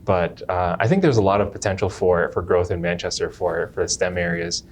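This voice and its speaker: Deep-voiced male chiropractor. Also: a little hiss or hum. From Jamaica. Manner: unhurried, restarts phrases often. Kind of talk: interview answers.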